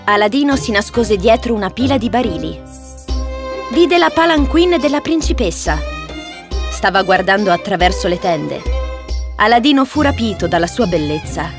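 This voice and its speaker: A woman's voice: medium pitch, animated, normal speed, over music. A woman storyteller giving scripted narration of a children's fairy tale.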